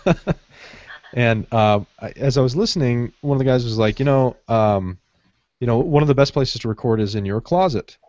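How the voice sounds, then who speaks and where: A man's voice: mid-range voice, animated, normal speed, darkish tone. A man co-hosting a podcast, telling anecdotes in casual chat and acting out what people said. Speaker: podcast co-host. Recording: dull lo-fi audio, roomy echo.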